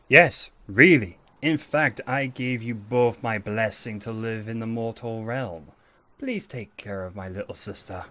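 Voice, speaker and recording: A man's voice: on the low side, a little slow, pushed and bright, slight background noise. A man playing a playful, affectionate archangel big brother in an audio drama, with a theatrical, teasing manner. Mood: wry, fond. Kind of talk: audio roleplay drama acting.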